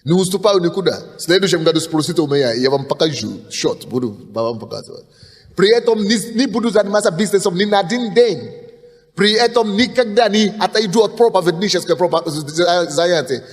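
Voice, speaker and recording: High-voiced male preacher in echoing hall. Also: animated, brisk. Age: 30s. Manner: insistent, emphatic preaching with frequent restarts. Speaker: pastor. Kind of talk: sermon. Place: church hall, at the pulpit. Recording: long hall reverb.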